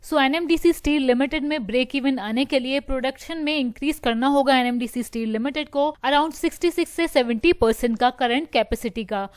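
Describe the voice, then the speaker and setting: A woman's voice: sits high, brisk, hardly any pauses, clear, resonant tone, no room sound, clean studio sound. A woman hosting a stock market news video, explaining steadily and slipping English phrases into her Hindi.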